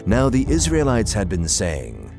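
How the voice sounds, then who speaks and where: A man's voice: fairly low pitch, huge pitch swings, measured pace, creaky, a little mellow, soft background music. A man narrating a dramatized Bible audiobook in a scripted storytelling style.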